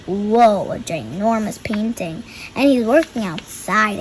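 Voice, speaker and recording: A woman's voice: fairly high pitch, slight background noise, low-quality recording. A young girl reading a picture book aloud and explaining the pictures. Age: child.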